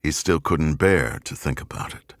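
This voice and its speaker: Male narrator for dramatic fiction: deep, measured, serious, recorded dry and close. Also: no room sound. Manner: measured, grave, deliberate phrasing. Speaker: audiobook narrator. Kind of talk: scripted dramatic novel narration.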